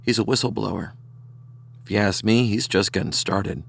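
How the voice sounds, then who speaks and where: An actor playing a grave, wry government insider with a foreboding manner in an audio drama. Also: male voice, fairly low pitch, moderate pace, gravelly, slightly rough.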